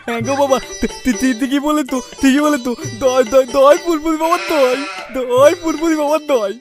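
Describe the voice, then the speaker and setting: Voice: high voice, brisk, lightly acted. A performer voicing a desperate cartoon husband who stammers and lisps as they plead. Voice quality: stammer and lisped consonants. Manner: pleading, excitable. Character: stammering, lisping, desperate cuckolded husband seeking a holy man's help. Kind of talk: comedy cartoon dubbing. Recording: music behind the voice.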